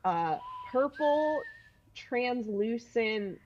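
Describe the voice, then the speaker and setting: A woman's voice: fairly high pitch, expressive, very slow, a little mellow, dull audio, slight background noise. A woman guest on a podcast, chatting casually in an informal, slangy way. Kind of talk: casual chat about childhood games.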